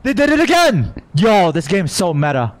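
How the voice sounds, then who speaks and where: A man's voice: sits high, big pitch swings, moderate pace, a little hiss or hum. A man streaming a game, reacting in casual, slangy commentary with playful heckling.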